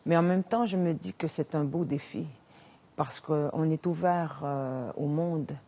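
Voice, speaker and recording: Woman poet, Innu native speaker, low voice, slow gentle delivery. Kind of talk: reading poetry and speaking in an interview.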